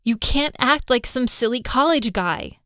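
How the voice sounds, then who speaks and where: A woman's voice: on the high side, expressive, measured pace, bright, forceful tone, dry recording, clean studio sound. A woman playing a hot-tempered, lovestruck young daughter of a mafia boss in a girlfriend roleplay audio drama, exasperated and scolding. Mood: angry, scolding. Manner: scolding, exasperated, with sighs and breathy asides.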